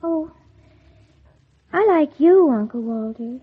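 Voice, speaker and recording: A woman's voice: high-pitched, expressive, takes it slowly. An actress playing a spiteful, scheming teenage girl in a 1940s radio drama, by turns falsely innocent and coldly bitter. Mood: feigned innocence. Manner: feigned sweet innocence turning coldly bitter. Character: scheming, spiteful teenage girl narrating her own revenge. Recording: muffled, tinny old 1940s radio recording.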